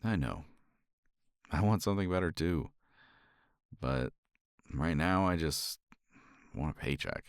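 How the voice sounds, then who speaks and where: A man's voice: slow, pauses often, no echo. A man speaking tenderly and reassuringly to a partner in a romantic ASMR roleplay, close to the mic. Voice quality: very deep and gravelly. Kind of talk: romantic ASMR roleplay, intimate soft talk.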